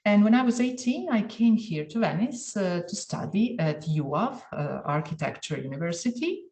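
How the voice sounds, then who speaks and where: A woman in her forties from Croatia, a guest in a casual interview, who often pauses and hunts for words. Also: medium pitch, sing-song, a little mellow, reverberant. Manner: searching for words, frequent fillers.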